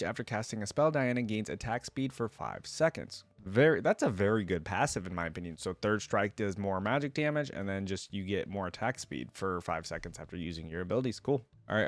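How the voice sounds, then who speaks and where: A man doing casual reaction commentary as a channel host, easily impressed and thinking out loud, sometimes reading game descriptions aloud. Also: lowish voice, no echo.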